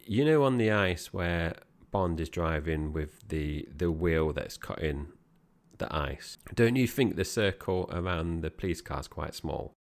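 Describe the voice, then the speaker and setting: Brief: male podcast co-host, very low gravelly voice, casual rambling film chat. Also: animated, unhurried. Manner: chatty, meandering with fillers and restarts.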